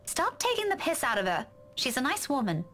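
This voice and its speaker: An actress with a British accent playing a feisty, caring young woman in a visual novel, direct and expressive. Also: high-pitched, brisk, soft background music, full character voice. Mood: teasing. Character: feisty, caring young woman teasing her old friend.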